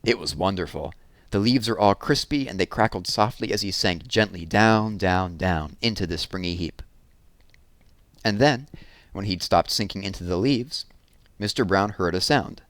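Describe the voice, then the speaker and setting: A man reading a children's picture book aloud in a gentle, expressive storytelling manner. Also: low-pitched, normal speed, no echo.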